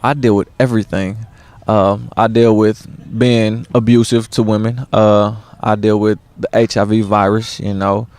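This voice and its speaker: Laid-back man with a smooth low voice. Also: little pitch movement, normal speed, darkish tone. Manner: laid-back slang, many uhs. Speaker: stage actor. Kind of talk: casual interview.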